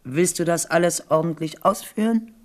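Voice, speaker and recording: A woman's voice: fairly low pitch, moderate pace, natural voice. An actress playing a scheming, cynical old queen mother in a radio play, condescending and calculating. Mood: scheming, scornful. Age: older. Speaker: radio drama actor. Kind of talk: 1970s German radio play acting.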